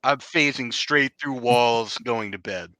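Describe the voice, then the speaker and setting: A man's voice: medium pitch, slow pace, pushed and bright, dry recording, low-quality recording. A man playing a tabletop game, talking casually in a sardonic, exasperated way with plenty of swearing.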